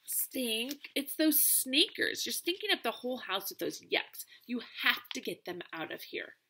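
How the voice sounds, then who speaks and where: A woman's voice: on the high side, sing-song, unhurried, pushed and bright, a little hiss or hum, full character voice. A woman voicing a bossy, teasing girl who plays the big sister, sounding exasperated.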